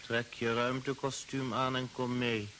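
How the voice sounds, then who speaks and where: A man's voice: medium pitch, level tone, unhurried, a bit bright, lightly acted. An actor playing a coldly insistent, mind-controlled man in an old science-fiction radio drama, commanding and emotionless. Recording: hissy old radio recording.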